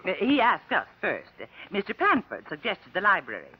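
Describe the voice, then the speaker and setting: An actor playing a hesitant, deferential witness who stammers through testimony in an old radio drama. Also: male voice, high voice, huge pitch swings, husky, heavily acted. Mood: halting, eager. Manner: halting, stammering over words. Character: hesitant, deferential switchboard witness at murder inquest. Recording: dull, lo-fi old radio recording.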